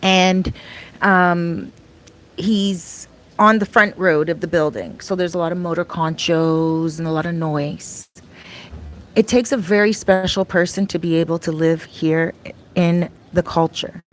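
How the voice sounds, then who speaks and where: A middle-aged woman vlogging casually about her life abroad, chatty and rambling, her voice a little raspy and often clearing her throat. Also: on the low side, fairly even intonation, measured pace. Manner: chatty, rambling, with many fillers and restarts. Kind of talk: casual talk to camera. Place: her home in a Dominican neighborhood.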